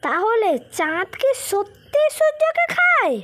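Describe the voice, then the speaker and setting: Very high-pitched curious little boy. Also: sing-song, measured pace, fairly bright tone, full character voice. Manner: eager, questioning. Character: curious, eager little schoolboy grandson. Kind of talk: animated children's story dialogue. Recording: music playing behind the voice.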